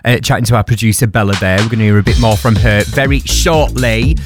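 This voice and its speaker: A man's voice: on the low side, fast talker. A man hosting a morning radio show, talking in a breezy, teasing way. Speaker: radio host. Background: music.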